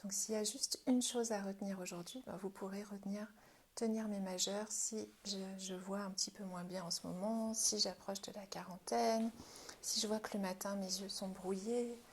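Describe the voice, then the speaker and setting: A woman leading a guided self-help practice as an instructor, speaking informally in a gentle, reassuring way, with a breathy voice that often drops toward a whisper. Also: medium pitch, steady tone, normal speed, slight background noise. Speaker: Jin Shin Jyutsu self-help instructor.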